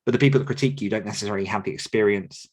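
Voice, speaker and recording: A man's voice: fairly low pitch, racing, slightly rough. A man hosting a casual podcast interview, talking in an encouraging, conversational way. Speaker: podcast host and writing coach.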